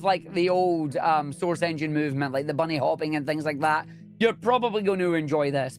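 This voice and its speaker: Chatty Scottish man, slightly high voice. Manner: enthusiastic, chatty, joking. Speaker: speedrunner guest. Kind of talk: casual interview chat.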